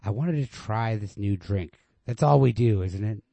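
A man's voice: fairly low pitch, normal speed, vocal fry, dark tone, no room sound, pitched above the performer's own voice. A man voicing a restless, wry, needling young woman in a storybook read-aloud, pointed and questioning.

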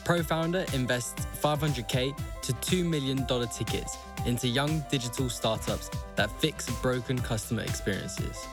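A man's voice: medium pitch, normal speed, hardly any pauses. Upbeat male announcer over music. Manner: upbeat, promotional. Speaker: podcast host, intro announcer. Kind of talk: scripted show intro. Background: music bed.

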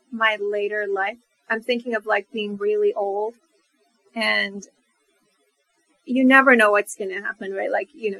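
A woman with a bright, forward voice at an average pitch. Her intonation stays quite even as she muses aloud as a guest in a casual, reflective conversation. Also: low-quality recording. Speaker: podcast guest.

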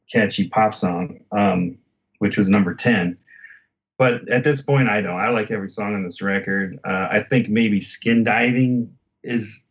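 A man's voice: mid-range voice, muffled recording. A man in a casual album discussion as a podcast host, talking in a reflective, hesitant, conversational way.

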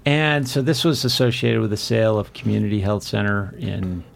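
Podcast host with a gravelly voice. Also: male voice, on the low side, steady tone, normal speed. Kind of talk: conversational interview.

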